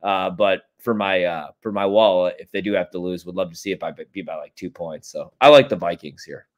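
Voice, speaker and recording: A man's voice: sits low, brisk, lo-fi sound. An American man in his thirties chatting casually on a sports betting podcast over a video call, blunt and rambling, with plenty of swearing. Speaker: guest sports betting analyst. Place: home kitchen, on a video call.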